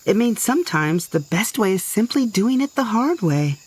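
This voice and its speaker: A woman playing a confident space superheroine who speaks in a calm, knowing, mentor-like way. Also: medium pitch, moderate pace, breathy edge. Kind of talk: scripted audio drama acting.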